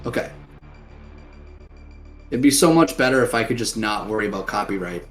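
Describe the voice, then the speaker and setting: A man's voice: lowish voice, lively intonation, moderate pace, a bit bright, soft background music. A man running a tabletop game, chatting casually with his players after a session, joking and swearing freely. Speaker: game master. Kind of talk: casual post-game chat.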